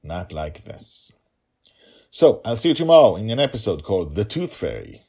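A man's voice: fairly low pitch, big pitch swings, normal speed, dark tone, husky. A middle-aged man speaking as an author and podcast host, in an informal storytelling style.

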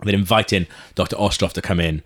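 Chatty sweary man with a lowish voice. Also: fast talker. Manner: chatty, sweary, laughs often, animated retellings. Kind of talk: casual chat reviewing a TV episode.

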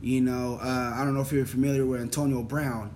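A man's voice: medium pitch, little pitch movement, a little fast, crowd noise. A young adult man interviewing a boxer in a laid-back, agreeable, slangy way. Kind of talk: casual interview talk.

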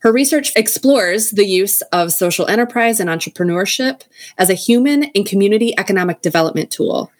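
A woman's voice: mid-range voice, lively intonation, fairly quick. A woman hosting a podcast, reading a guest's introduction and then asking questions with enthusiasm. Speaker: podcast host.

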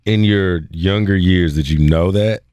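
A man's voice: low voice, a little slow, a little mellow, smooth, dry recording, clean studio sound. A man hosting a podcast, telling stories in a laid-back, joking way. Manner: laid-back, jokey storytelling. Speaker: podcast host. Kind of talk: casual conversation.

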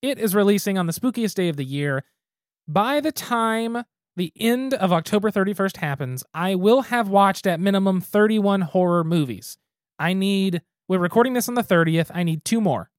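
A man's voice: high voice, expressive, moderate pace, no room sound, studio quality. A man co-hosting a podcast, chatting casually and thinking aloud as he reviews a beer. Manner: easygoing, thinks aloud with restarts. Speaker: podcast co-host.

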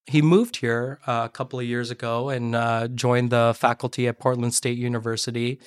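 Man, middle-aged guest, earnest, storytelling, restarts sentences, medium pitch, dry close sound. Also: level tone, moderate pace, clean tone, dry recording. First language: Korean. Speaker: podcast guest, education advisor. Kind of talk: conversational interview.

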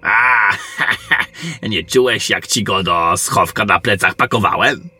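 A man playing a seasoned, wry general and monster hunter in a fantasy audio drama, measured and commanding. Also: lowish voice, sharp tone, husky, heavily acted. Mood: amused.

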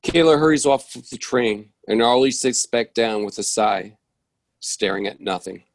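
A man's voice: medium pitch, measured pace, gravelly. A man giving scripted narration as the reader of a play's stage directions.

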